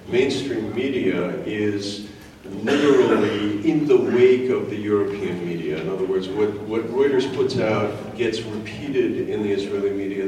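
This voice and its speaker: Lower-voiced man, very echoey room. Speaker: academic lecturer on a panel or in audience. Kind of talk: impromptu argument at a public discussion. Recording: very echoey room, distant microphone.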